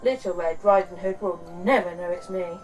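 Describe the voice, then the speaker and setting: A woman's voice: fairly low pitch, normal speed, a little mellow, distant mic, faint music behind, pitched below the performer's own voice, close to an everyday voice, low-quality recording. A woman voicing a sly, hungry, menacing male wolf in a children's storybook, with a growling delivery. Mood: scheming. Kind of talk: children's bedtime storybook reading.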